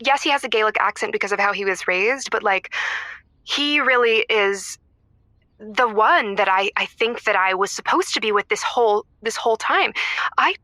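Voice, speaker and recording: A woman playing a status-conscious, snobbish wife and mother who confides in a comedy call-in show in a halting, emphatic way. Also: mid-range voice, lively intonation, bright, forceful tone, no room sound. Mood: smitten, defensive. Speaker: caller.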